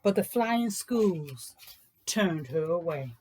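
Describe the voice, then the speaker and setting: A woman host reading aloud for children with expressive, emphatic phrasing. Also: on the low side, slow pace, slight background noise. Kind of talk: expressive picture book read-aloud in rhyming verse.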